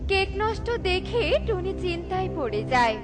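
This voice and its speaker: Very high-pitched woman storyteller. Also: sing-song, moderate pace, music in the background. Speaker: narrator. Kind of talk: scripted children's story narration.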